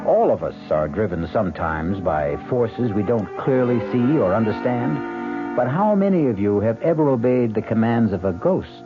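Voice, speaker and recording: A man's voice: fairly low pitch, expressive, moderate pace. A man hosting a vintage radio mystery with theatrical scripted narration, wry and ominous in manner. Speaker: radio drama host. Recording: muffled vintage radio with loud music.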